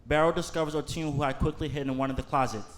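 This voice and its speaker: A man's voice: a little high, fairly even intonation, very fast, a little hiss or hum, close to an everyday voice. A man reading stage directions as the narrator of a scripted staged play. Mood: neutral.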